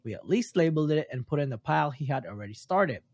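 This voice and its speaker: A man's voice: a little high, animated, fast, a little mellow. A man who is not a native English speaker reads a story aloud for a podcast, stumbling now and then on his words. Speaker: podcast host reading stories.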